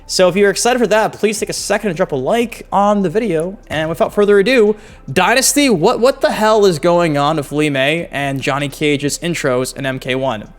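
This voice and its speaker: Male gaming video host, slightly high voice, informal and slangy, an enthusiastic fan delivering casual news commentary. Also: moderate pace, faint music behind.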